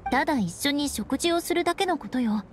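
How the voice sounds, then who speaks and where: A woman's voice: high voice, lively intonation, fairly quick. A woman playing a proud, aloof young noblewoman knight in an anime-style game, cool and composed. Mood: haughty. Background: background music.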